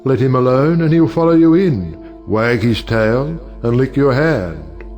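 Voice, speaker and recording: An actor playing a wry, provocative middle-aged judge and uncle in an audio play, speaking in a rhetorical, oratorical way. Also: male voice, mid-range voice, animated, a little slow, a little mellow, lightly acted. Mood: persuasive, confident. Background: music.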